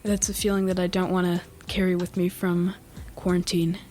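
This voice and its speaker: A teenage boy co-hosting a casual home podcast, his voice scratchy from just waking up, talking haltingly with many fillers and throat-clearing. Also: sits high, completely flat delivery, darkish tone. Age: teens. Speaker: podcast co-host. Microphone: home podcast microphone with mixer.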